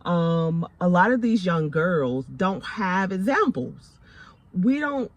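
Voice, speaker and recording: A woman's voice: fairly low pitch, big pitch swings, slow, no echo, highs cut off. A woman in her forties with a Southern American accent, giving a faith talk to camera in an emphatic, preacherly way, repeating phrases for stress and calling listeners honey. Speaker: faith and lifestyle vlogger.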